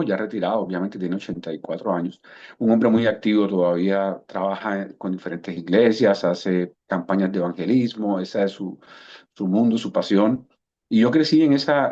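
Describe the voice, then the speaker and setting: A low-pitched man in his fifties with a Cuban accent worn smooth by years in Colombia, sharing his story on a video call. He talks in a reflective way, restarting phrases as he looks for words. The audio is dull and lo-fi. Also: a little mellow. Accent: Cuban Spanish softened by years in Colombia. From Cuba. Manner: thoughtful, repeats words while searching for them. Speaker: guest coach. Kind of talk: relaxed storytelling interview answer.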